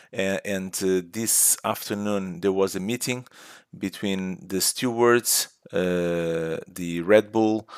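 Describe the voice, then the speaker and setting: A man's voice: sits low, smooth, no room sound, studio quality. A man co-hosting a casual podcast chat, talking hesitantly with many fillers and frequent laughter. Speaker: podcast co-host. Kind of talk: casual chat about motor racing.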